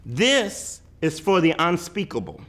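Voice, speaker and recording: Male reader for a poetic picture book: higher-pitched voice, highly animated intonation, emphatic delivery with weighty pauses. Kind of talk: dramatic poetry read-aloud.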